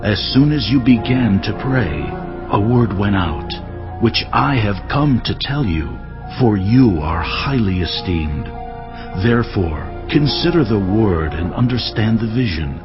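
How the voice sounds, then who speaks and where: A man playing a solemn, authoritative angel delivering prophecy in a dramatized audio Bible, speaking in a measured, deliberate way. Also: on the low side, big pitch swings. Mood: solemn, commanding. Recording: slightly dull audio, music underneath. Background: clear background music.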